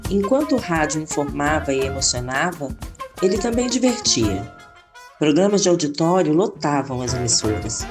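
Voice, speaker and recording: Female Brazilian narrator, low register, lyrical scripted read, music bed. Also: big pitch swings, moderate pace.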